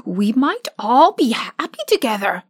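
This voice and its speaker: A woman's voice: a little high, big pitch swings, a little slow, breathy edge, heavily acted. A woman voicing a cheerful male scarecrow who has become a ruler, speaking earnestly in a storybook reading.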